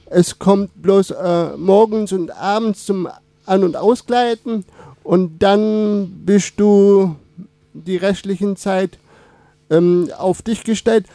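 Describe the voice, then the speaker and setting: A young man with Turkish as his first language talks at an unhurried pace in a high, soft-edged voice. He stops, restarts and fills gaps often, like a casual guest feeling his way through questions. The sound is dry and close. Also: dry recording. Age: 20s. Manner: halting, many fillers and restarts. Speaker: radio guest. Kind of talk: casual interview.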